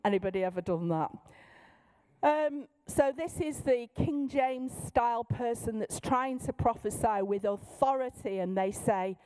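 Middle-aged manchester woman, fairly high voice, chatty down to earth church workshop talk. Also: a little slow, darkish tone. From Manchester, England. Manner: light-hearted, down-to-earth, checks in with listeners. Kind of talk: informal teaching talk to a group.

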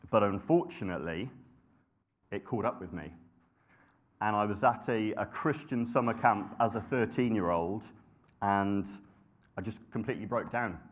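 Young male preacher, lowish voice; relaxed, humble sermon delivery with filler words and restarts. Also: moderate pace, long pauses. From grew up in Nepal and the UK. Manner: conversational, self-deprecating, with frequent ums and restarts.

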